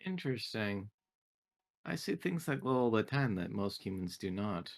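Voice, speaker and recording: A man voicing a polite, literal female android assistant in a tabletop game, speaking in a calm, formal, measured way. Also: fairly low pitch. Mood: matter-of-fact.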